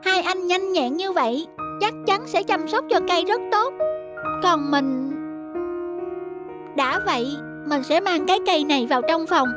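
Cartoon schoolboy, young and gentle, very high childlike voice, plaintive, self-pitying, music underneath. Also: female voice, unhurried. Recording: music behind the voice.

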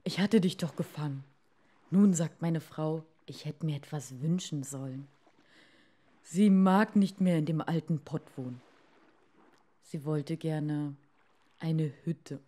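Storybook fisherman, simple and reluctant, slightly lowered plain voice, done by a female reader. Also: takes it slowly, pauses often, warm tone. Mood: hesitant.

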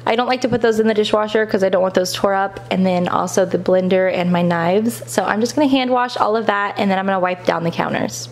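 A woman's voice: mid-range voice, steady tone, fast, few pauses. A woman in her thirties casually narrating her cleaning routine in a plain, practical voice-over. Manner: plain, practical step-by-step explaining. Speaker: cleaning vlogger.

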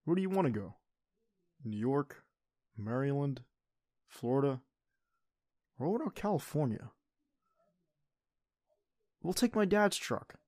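A man acts out an affectionate teenage boyfriend in an audio roleplay. His voice is mid-range and soft-spoken, with a playful, tender way of talking. It is recorded close and dry, intimate in the ASMR style. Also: mid-range voice, big pitch swings, unhurried, pauses often, no echo. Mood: playful, coaxing.

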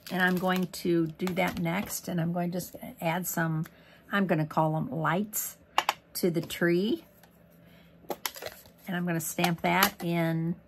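A hoarse woman talks casually through a stamping tutorial at her desk, rambling with asides as she works. Her voice sits a bit low and has a gravelly edge. A faint hiss runs under the recording. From Missouri, USA. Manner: chatty, rambling, lots of ums and asides. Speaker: craft demonstrator. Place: craft desk indoors.

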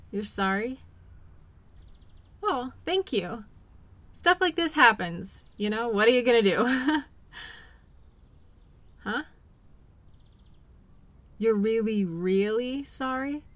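A woman playing a caring, patient girlfriend in an intimate role-play audio, talking gently and soothingly to her partner. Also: on the high side, no room sound, exaggerated voice. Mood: gentle, reassuring. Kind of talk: intimate one-sided role-play acting.

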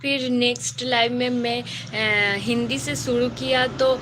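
A young woman with a Bengali accent, whose first language is Bengali, hosting a casual live stream and chatting with viewers in halting, broken Hindi as she searches for words. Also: on the high side, steady tone, noisy background.

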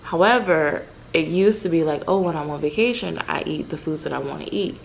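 A woman's voice: low voice, fairly quick, vocal fry, slight background noise, rough recording. A woman in her thirties chatting casually to her viewers about her groceries at home, with lots of fillers. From United States. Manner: chatty, talks straight to the viewers with many fillers. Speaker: vlogger. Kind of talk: casual grocery haul vlog. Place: bright room at home, indoors.

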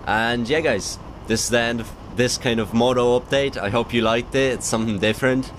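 A man's voice: mid-range voice, fairly even intonation, brisk. A young man chatting casually to his viewers as a BMX vlogger, upbeat and rambling with fillers, while riding a motorbike. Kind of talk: casual vlog chat to viewers. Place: riding a motorbike on open roads. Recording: road and engine noise behind voice.

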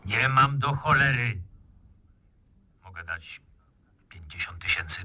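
Husky, sharp-voiced gangster on the phone. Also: male voice, fairly low pitch, expressive, takes it slowly, full character voice. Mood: irritated haggling. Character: cornered male crime boss, sardonically polite, haggling. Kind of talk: radio crime drama acting.

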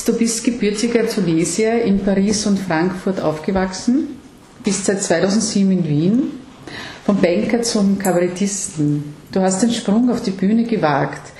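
A woman hosting an interview, asking questions in a measured, encouraging way. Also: mid-range voice, dark tone, slight background noise. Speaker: talk show host. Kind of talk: interviewing, scripted intro. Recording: echoey room.

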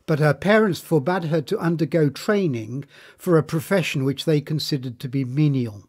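A man reading a scripted documentary narration in a formal, measured manner. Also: on the high side, darkish tone.

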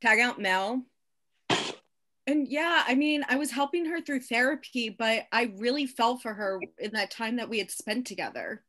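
A woman's voice: on the high side, lively intonation, moderate pace, sharp tone. A woman improvising comedy over a video call with hesitant phrasing. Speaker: improv performer.

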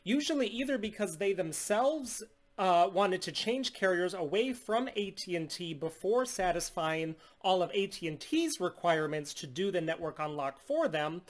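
A man in his thirties from the United States, a steady, salesy business owner explaining a tablet unlock to camera in a small room. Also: high-pitched, moderate pace. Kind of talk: scripted product demonstration. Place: small indoor room at home.

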